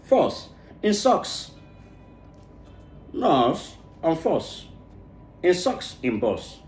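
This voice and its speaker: A man from Nigeria with an Igbo accent, a native Igbo speaker, reads a tongue-twister storybook aloud, haltingly, stumbling over and repeating words. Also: on the high side, sing-song, a little mellow. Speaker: English pronunciation learner. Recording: echoey room, music behind.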